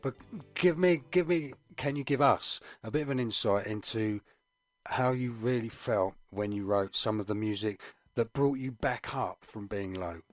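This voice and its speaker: A man co-hosting a casual radio chat, speaking haltingly and repeating his phrases. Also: lowish voice, no room sound. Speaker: radio co-host.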